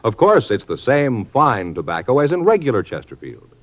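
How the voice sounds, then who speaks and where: A man delivering a brisk, emphatic 1950s radio commercial. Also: sing-song. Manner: brisk, emphatic sales pitch. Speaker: commercial announcer. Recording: dull lo-fi 1950s radio broadcast.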